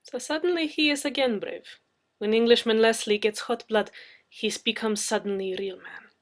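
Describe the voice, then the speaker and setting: A woman's voice: a little high, normal speed, natural voice. A woman voicing a bold, scolding foreign woman in an audiobook, speaking blunt broken English with dropped articles. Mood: defiant, mocking. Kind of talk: dramatic audiobook character reading.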